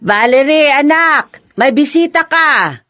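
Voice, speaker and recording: A woman's voice: high-pitched, expressive, slow, pushed and bright, no room sound, clean studio sound, full character voice. An actress playing a practical, doubting middle-aged mother in a radio drama, with a homely, fussing manner. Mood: calling. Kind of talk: Filipino radio drama acting.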